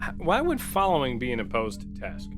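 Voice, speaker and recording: A man's voice: medium pitch, wildly swooping pitch, a little fast. A man playing a tabletop roleplaying game, chatting casually in a questioning, argumentative way. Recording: background music under the voice.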